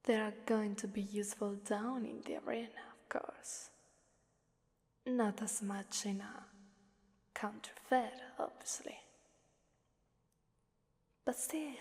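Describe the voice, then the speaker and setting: Slow, breathy demoness in cavernous reverb, acted by a woman. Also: a little high, darkish tone. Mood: smug, menacing. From Italy. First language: Italian. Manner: slow, breathy half-whispered, playfully menacing. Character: seductive, taunting female Krampus demon ruling a fighting arena. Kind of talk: ASMR roleplay voice acting.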